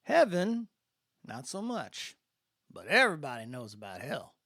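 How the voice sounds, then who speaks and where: A man's voice: fairly high pitch, wildly swooping pitch, a little slow, fairly bright tone, no echo, clean studio sound, full character voice. A man voicing an easygoing good old boy from Mississippi, a spacefaring mentor with a folksy Southern drawl, in a science fiction audiobook. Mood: boisterous.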